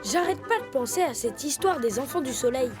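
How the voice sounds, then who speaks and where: A woman's voice: sits high, lively intonation, a little fast. Woman voicing a stubborn young leopard cub boy. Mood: earnest. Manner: earnest, determined. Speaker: dubbing voice actor. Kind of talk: children's cartoon dubbing. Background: music.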